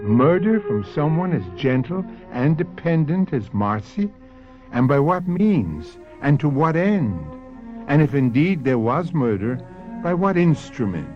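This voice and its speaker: An actor hosting a radio mystery drama as a grave, ominous narrator, deliberate and theatrical. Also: male voice, fairly high pitch, very animated, music in the background. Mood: ominous, intriguing. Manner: theatrical, rhetorical questioning, deliberate. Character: grave, ominous male mystery series host. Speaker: host and narrator. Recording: dull old radio recording.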